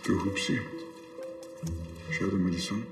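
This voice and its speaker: Needling Bavarian cop, roomy sound. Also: male voice, low voice, a little slow, vocal fry, over music. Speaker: actor in a TV police series.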